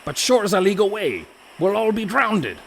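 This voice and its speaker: An actor playing a rough, indignant pirate rogue in a radio play, exclaiming in gruff seafaring dialect. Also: male voice, high voice, sing-song, normal speed, a bit bright, full character voice. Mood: alarmed, defiant. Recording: faint background noise.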